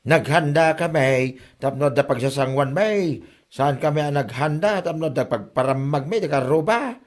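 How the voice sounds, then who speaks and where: A man's voice: fairly high pitch, moderate pace, natural voice. A man with an Ilocano accent playing an exasperated husband who scolds and complains at his spendthrift wife in a comedy drama. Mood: grumbling. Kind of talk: comedy radio drama acting. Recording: music behind the voice.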